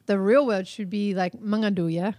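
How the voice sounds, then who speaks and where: Woman chatting on a podcast, mid pitch, dry close sound, laughs a lot. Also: steady tone, moderate pace, dark tone, no echo. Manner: chatty, laughs often, trails off and restarts. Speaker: podcast co-host. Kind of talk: casual chat.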